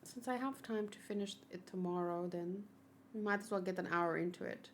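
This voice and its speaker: A woman chatting casually on a gaming livestream, thinking aloud in an expressive, chatty way. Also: fairly low pitch, a little fast. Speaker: video game streamer. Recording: clear background noise.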